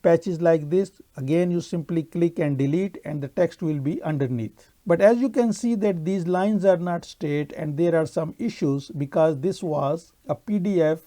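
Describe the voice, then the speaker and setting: A man whose first language is Urdu narrates a computer tutorial over his screen recording. He explains each click in a steady, methodical way. His voice is warm and mellow. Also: on the high side, normal speed, hardly any pauses. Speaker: software tutorial presenter.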